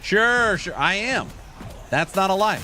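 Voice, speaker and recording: Late-30s male game streamer, unhurried, jokey chat-reading banter. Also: sits high, animated, bright, forceful tone, a little hiss or hum. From California. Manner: jokey, reads viewer chat aloud and banters. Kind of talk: casual livestream chat while gaming.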